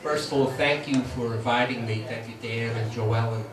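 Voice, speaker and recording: A man's voice: mid-range voice, steady tone, normal speed, a crowd in the background. A man from Brooklyn with a New York accent, giving a plainspoken speech as guest speaker at a meeting and reading scripture aloud. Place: meeting hall. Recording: echoey hall from far off. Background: audience murmur.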